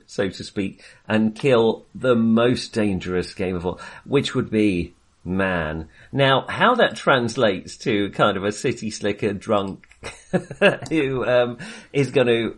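A man with a fairly low voice hosts a relaxed discussion about films. He feels his way through sentences haltingly and keeps a jokey, understated wryness as he talks. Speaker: film podcast host. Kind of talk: casual film discussion.

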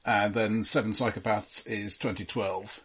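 Male co-host, lower pitch, rough husky tone, measured explanatory delivery for casual film talk. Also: level tone. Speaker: podcast co-host.

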